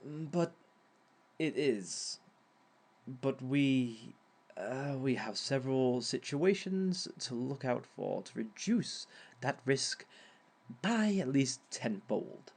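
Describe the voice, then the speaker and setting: A man's voice: medium pitch, expressive, slow pace, creaky, a little mellow, slightly rough, heavily acted. A man voicing a sly, theatrical male villain who lectures on dating in an audio roleplay, with chuckling asides. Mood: smug. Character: smug male villain giving a dating seminar.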